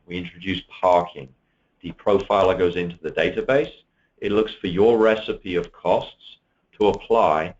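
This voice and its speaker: A man with a low, deep voice walks through software features in a steady, explanatory way, now and then pausing on an um. The sound is dull and lo-fi, like a recorded online webinar. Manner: steady explanatory, occasional ums. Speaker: software presenter. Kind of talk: product webinar walkthrough.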